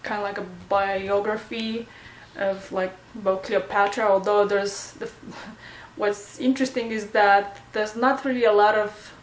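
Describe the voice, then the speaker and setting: A young woman with a Romanian accent, a native Romanian speaker, chatting casually in a home vlog and often pausing to search for words. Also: mid-range voice, steady tone, rough recording. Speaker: vlogger.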